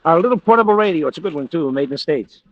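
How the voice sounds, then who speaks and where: A man's voice: a little high, animated, very fast, no echo. An actor playing a suspicious, sardonic tough guy in an old radio thriller, speaking in clipped slang. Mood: breezy. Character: wry, suspicious, hard-boiled tough guy. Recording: dull, lo-fi vintage radio recording.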